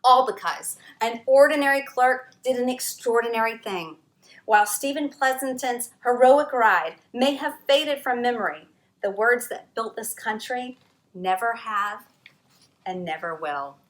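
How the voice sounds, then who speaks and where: A woman author reading her own picture book aloud at storytime, expressive, with dramatic pauses. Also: on the high side.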